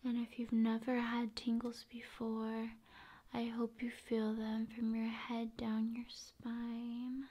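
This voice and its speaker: Female ASMR host: unhurried, soothing, half-whispered delivery, flat intonation. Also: fairly high pitch. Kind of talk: ASMR, soft-spoken and whispered rambling.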